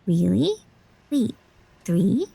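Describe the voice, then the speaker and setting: A woman's voice: mid-range voice, very animated, drawn-out words, vocal fry, warm tone, slightly rough, heavily acted. A woman voicing an eager, curious young schoolgirl in an audio drama. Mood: curious, thoughtful. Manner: eager, childlike. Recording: music under the voice. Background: music.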